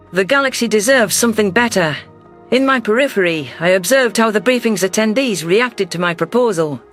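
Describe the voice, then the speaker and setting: A man's voice: high voice, a bit bright. A man voicing an emotional female alien governor, narrating a science fiction audiobook in an earnest, formal, dramatic way. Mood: earnest, grateful.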